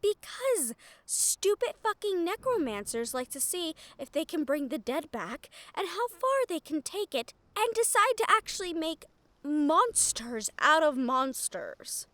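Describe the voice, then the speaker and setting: A woman playing a wry, foul-mouthed shadow thief in a fantasy audio roleplay, nervous but frank, with dramatic pauses and sighs. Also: sits high, very animated, a bit bright, no room sound, exaggerated voice. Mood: scornful.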